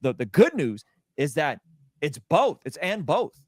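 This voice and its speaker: Emphatic man with a slightly high voice. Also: very animated, a little slow, darkish tone, dry recording, clean studio sound. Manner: emphatic, repeats phrases for weight. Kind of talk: impassioned conversational preaching.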